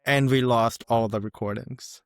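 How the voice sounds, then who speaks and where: A man's voice: medium pitch, fairly even intonation, normal speed, clear, resonant tone, no echo, clean studio sound. A man hosting an interview podcast, speaking in an upbeat way with frequent laughter and small verbal slips, over a video call. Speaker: podcast host. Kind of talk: casual interview conversation.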